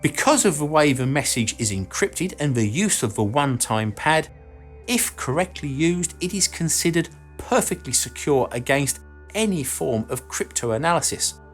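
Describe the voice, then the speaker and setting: A man reading a scripted explainer narration in a measured, explanatory way. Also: a little high, expressive. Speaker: documentary channel narrator and host. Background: background music.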